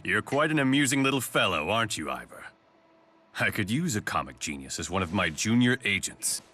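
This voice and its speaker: A man's voice: lowish voice, big pitch swings, fairly quick, sharp tone, a little hiss or hum. A man voicing a suave, calculating corporate chief in a video game, with polished, condescending speech. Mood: amused. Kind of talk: English video game voice acting.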